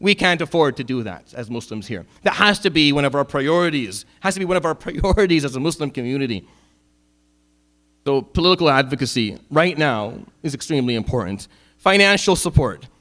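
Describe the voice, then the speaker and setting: A middle-aged man from Syria, giving a Friday sermon as an imam, impassioned at times and dropping low and wry at others. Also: lively intonation, fairly quick, fairly bright tone. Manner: impassioned, rising to emphatic peaks then dropping low and wry.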